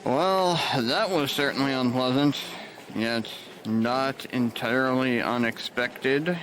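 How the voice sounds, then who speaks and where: A man's voice: medium pitch, slow, fairly bright tone, slight background noise, poor audio. An actor playing a weary male hospital worker who talks with dry understatement, in an audio drama.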